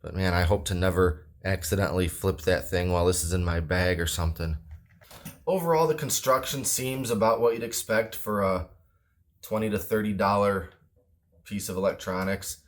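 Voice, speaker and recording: A man with a low-pitched voice talks through a product review casually. His delivery is offhand and plain-spoken, with some hesitation as he goes. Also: moderate pace. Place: indoors at a wooden table.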